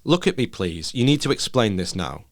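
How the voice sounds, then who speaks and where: A man's voice: lowish voice, big pitch swings, moderate pace, no room sound, clean studio sound. A man voicing an exasperated, bewildered woman, a parent who questions insistently, in a story reading.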